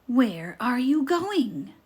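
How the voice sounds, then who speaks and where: A woman's voice: big pitch swings, very slow, breathy edge, full character voice. A woman voicing a sly, hungry storybook wolf with a growly, drawling delivery. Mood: sly, menacing. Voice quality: deep, growly.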